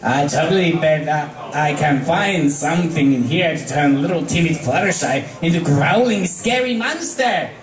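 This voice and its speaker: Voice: mid-range voice, expressive, normal speed, hardly any pauses, echoey room, crowd noise, natural voice. A performer voicing a brash, cocky young female pegasus prankster pony with an enthusiastic, confident manner in a story reading.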